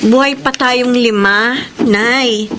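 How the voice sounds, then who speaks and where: High-voiced protective eldest daughter. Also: female voice, fairly even intonation, slow, fairly bright tone. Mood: firm, consoling. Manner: emotional, urgent, consoling. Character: protective adult eldest daughter, anxious but steadfast. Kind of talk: Filipino radio drama acting.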